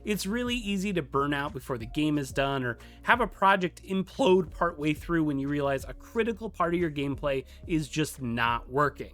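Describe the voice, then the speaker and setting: A man's voice: on the high side, animated, normal speed, few pauses, fairly bright tone. A man narrating a scripted explainer as a tutorial host, with playful, emphatic asides. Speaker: host of a game development tutorial. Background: background music.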